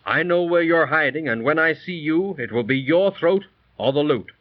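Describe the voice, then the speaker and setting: A man's voice: a little high, animated, moderate pace, lightly acted. An actor playing a breezy, wisecracking man in a 1930s radio drama, on a dull, noisy old recording. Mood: light, confiding. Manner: jaunty, wisecracking. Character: breezy, joking, sceptical man telling his tale.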